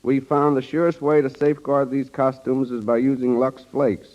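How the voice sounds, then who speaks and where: A man's voice: mid-range voice, little pitch movement, moderate pace, lo-fi sound. A man giving a scripted, formal guest interview on an old 1930s radio broadcast, as if reading prepared lines. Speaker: studio wardrobe director, radio guest.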